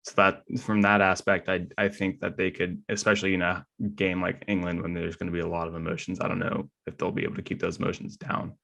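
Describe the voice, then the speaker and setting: A man's voice: deep voice, monotone, fast talker, gravelly, few pauses, a bit bright. A man co-hosting a podcast, chatting casually about sports. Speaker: podcast co-host.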